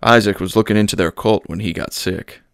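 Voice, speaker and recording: A man voicing a blunt, plain-spoken churchman who talks in a dry, unhurried way. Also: fairly low pitch, fairly even intonation, smooth, no echo, close to an everyday voice. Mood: matter-of-fact.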